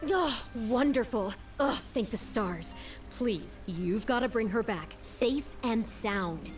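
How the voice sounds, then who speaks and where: A woman's voice: fairly high pitch, expressive, slow, recorded from a distance. A woman voicing an anxious video game character who implores others for help in an emotive manner. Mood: relieved, pleading. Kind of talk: scripted game dialogue. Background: game music.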